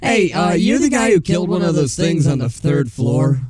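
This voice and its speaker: A man's voice: on the high side, big pitch swings, moderate pace, darkish tone, husky, no room sound. A man voicing a cocky, hot-tempered thug who swaggers and swears in a horror audio drama. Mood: mocking. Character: cocky, foul-mouthed male thug, hot-tempered and taunting.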